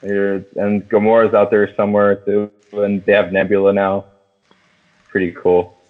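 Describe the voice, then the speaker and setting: A low-voiced man chats casually as a podcast host, slangy and sweary with an excitable manner. The sound is narrow and tinny, like a phone line. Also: moderate pace. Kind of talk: casual movie discussion. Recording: thin phone-like band-limited sound.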